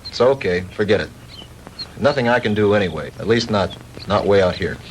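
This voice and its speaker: An actor playing a brisk, frustrated young boyfriend in a 1960s B-movie. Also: male voice, fairly low pitch, lively intonation, natural voice. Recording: noisy 1960s film soundtrack.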